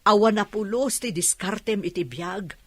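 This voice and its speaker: Woman as a husky, scolding elder sister. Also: mid-range voice, moderate pace, no echo, close to an everyday voice. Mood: scolding, bitter.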